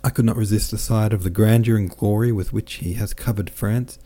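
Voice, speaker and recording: A man's voice: lowish voice, steady tone, a little fast, mellow, voice pushed up, close to an everyday voice. A man voicing a jovial, boastful French army captain who chatters in exclamations.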